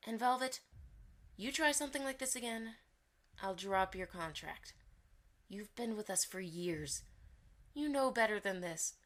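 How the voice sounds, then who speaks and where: A woman's voice: medium pitch, expressive, unhurried, pauses often, a bit bright. A woman playing a stern, commanding mare who runs a publishing house, lecturing bluntly in a fan audio drama. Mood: cold, warning. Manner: firm, lecturing.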